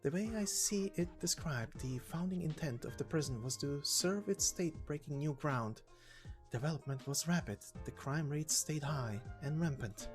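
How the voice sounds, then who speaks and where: A man voicing a serious, reserved woman investigator in a game story, with measured, questioning delivery. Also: a little high, soft background music, voice pushed down, lightly acted. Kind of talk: voiced game story reading.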